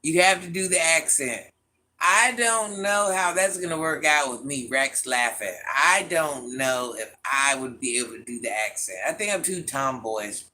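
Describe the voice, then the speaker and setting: Sharp-voiced woman teasing her viewers. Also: sits low, normal speed. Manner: teasing, talks straight to viewers by name, laughs often; calls herself Granny. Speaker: livestream host reading viewer comments. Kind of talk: casual livestream chat.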